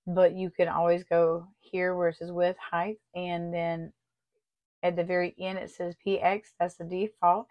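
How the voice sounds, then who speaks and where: Slightly raspy, low-pitched woman tutorial host. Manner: friendly, chatty, step-by-step explaining. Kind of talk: casual tutorial walkthrough.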